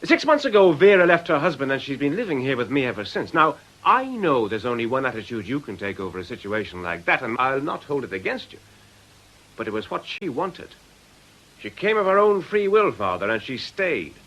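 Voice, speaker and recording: A man's voice: mid-range voice, very animated, a little fast, a bit bright, lo-fi sound. A man playing a glib, cagey nightclub owner in a radio drama, with a sardonic, breezy, evasive manner. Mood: frank, disarming.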